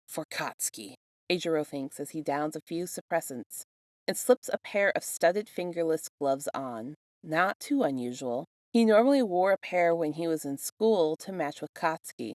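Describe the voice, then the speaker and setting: A woman's voice: deep voice, lively intonation, normal speed, a little mellow, no echo. A woman reading fan fiction aloud as a scripted narrator. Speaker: podfic reader.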